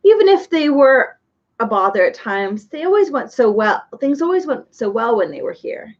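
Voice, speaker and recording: A regal, somewhat melancholy storybook king, voiced by a woman narrator. His lines are grand and commanding, delivered high and lively. The recording is dull and lo-fi. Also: normal speed. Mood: wistful. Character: gloomy commanding male king.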